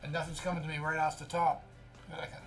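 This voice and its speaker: A man's voice: on the high side, little pitch movement, quick pace, gravelly, a bit bright, faint music behind. An older man co-hosting a talk show with casual, reactive banter in short remarks. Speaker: co-host.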